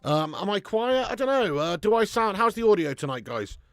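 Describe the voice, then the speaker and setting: A man hosting a live fan watch-along of a football match at home, chatting to viewers with sweary banter and bursting into loud cheering at chances. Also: high-pitched, sing-song, brisk, no room sound, clean studio sound. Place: at home in front of a camera.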